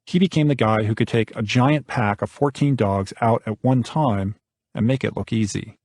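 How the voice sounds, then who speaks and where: A man's voice: medium pitch, normal speed, darkish tone, no room sound, studio quality. A man narrating a nonfiction audiobook from a script.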